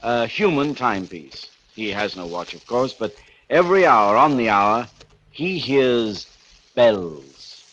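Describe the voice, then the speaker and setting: An actor playing a composed, precise asylum doctor in a 1940s radio drama. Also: male voice, mid-range voice, very animated, takes it slowly, fairly bright tone. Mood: composed, clinical. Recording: dull, lightly noisy vintage radio recording.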